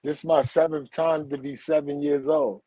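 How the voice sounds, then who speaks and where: A man's voice: a little high, little pitch movement, normal speed, warm tone, no echo, low-quality recording. A man in his forties who grew up in Brooklyn, talking in a rambling, slangy way as a guest. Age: 40s. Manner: rambling, slangy, repeats "you heard?" and "for real". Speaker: guest, teacher and author. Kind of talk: casual spiritual conversation.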